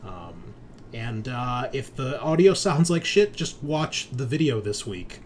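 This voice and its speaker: A man's voice: medium pitch, very animated, slow pace, a little mellow. A man hosting a tabletop roleplaying show as game master, chatting casually and informally with some swearing. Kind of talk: casual podcast chat.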